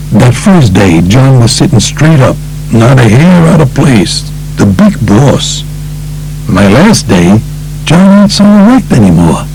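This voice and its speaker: A man's voice: medium pitch, a little mellow, low-quality recording. A man voicing a blunt, streetwise mobster telling his story in an audiobook. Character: streetwise male mobster recounting his testimony. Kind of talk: audiobook character reading.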